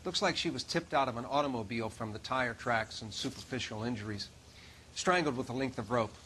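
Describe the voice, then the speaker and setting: An actor playing a dry, matter-of-fact medical examiner at a crime scene in a video game. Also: male voice, medium pitch, brisk, pushed and bright, a little hiss or hum, natural voice. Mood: clinical, dry. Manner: clinical, dry. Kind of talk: video game cutscene acting.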